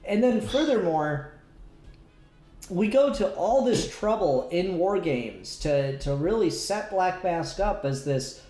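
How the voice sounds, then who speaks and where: A man's voice: high voice, lively intonation, slow, warm tone, faint music behind. Rambling man in an echoey room. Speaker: podcast co-host. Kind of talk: casual comic book discussion.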